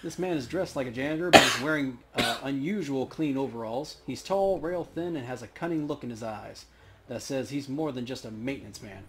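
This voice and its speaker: A man's voice: medium pitch, brisk. A man hosting a let's play, giving casual running commentary on the game. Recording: faint background noise.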